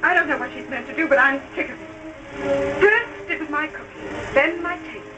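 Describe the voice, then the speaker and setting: Actress as an indignant, high-voiced young wife. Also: female voice, moderate pace, music in the background, close to an everyday voice, low-quality recording. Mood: fed up. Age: young adult. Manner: curt, indignant. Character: exasperated young wife standing up to mother-in-law. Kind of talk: 1930s-style radio drama acting.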